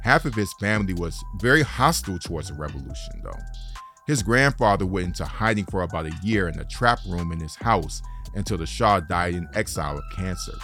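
A man with a very low, deep voice reads the narration as the show's host. He keeps a measured, steady pace through the script, and faint music plays underneath him. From Detroit, United States.

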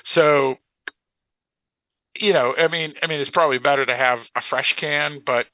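A man's voice: on the high side, steady tone, normal speed. A man with a Boston accent co-hosting a casual podcast discussion, explaining things in a rambling, hedging way. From Boston area, United States. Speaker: podcast co-host. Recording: dull lo-fi podcast audio.

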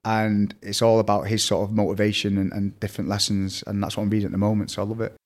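A young man with a strong Mancunian accent, telling stories as a podcast guest in fast, scattered casual chat. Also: lowish voice, flat delivery. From Manchester, England. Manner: fast, scattered storytelling with fillers.

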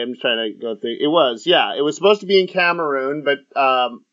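A man hosting a casual sports podcast, talking in a halting way with many fillers and restarts. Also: medium pitch, a bit bright. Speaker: podcast host. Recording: muffled lo-fi audio.

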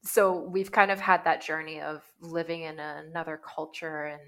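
A woman in her thirties from Canada, a guest chatting casually, animated and full of "like"s. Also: low-pitched, normal speed. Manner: chatty and animated, many "like"s, laughs easily. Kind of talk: casual conversation.

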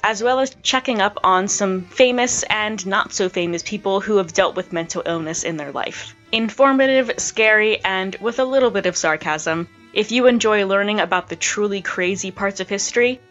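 A woman's voice: mid-range voice, a bit bright. Woman's scripted podcast promo, slightly dull audio. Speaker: podcast host. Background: background music.

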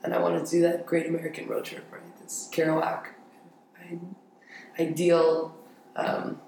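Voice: medium pitch, monotone, a little slow, creaky, a little mellow. Young interview guest in a very echoey room. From grew up in Paris, France. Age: young adult. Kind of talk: casual conversational storytelling. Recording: very echoey room with faint noise.

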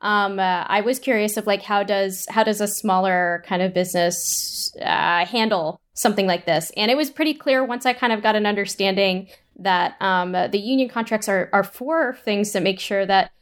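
A woman's voice: mid-range voice, normal speed, hardly any pauses, clean tone. Woman in conversation, hesitant and rambling. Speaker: audio drama writer and producer. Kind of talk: conversational interview.

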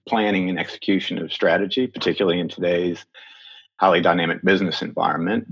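A middle-aged American man from Florida, a strategy consultant answering interview questions in a measured, thoughtful way with frequent ums and self-corrections. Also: deep voice, breathy edge, lo-fi sound. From Florida, USA. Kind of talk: podcast interview.

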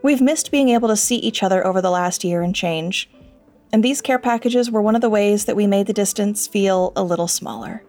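A woman's voice: medium pitch, fairly quick, a little mellow, soft background music. A woman delivering a scripted sponsor message as part of a podcast team. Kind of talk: scripted ad read.

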